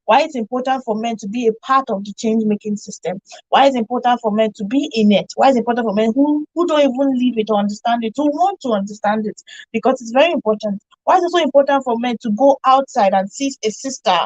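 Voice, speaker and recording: A woman's voice: a little high, quick pace, a little mellow, no room sound. Woman discussing, dry and slightly dull audio. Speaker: radio show panelist. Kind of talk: unscripted discussion. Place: radio studio.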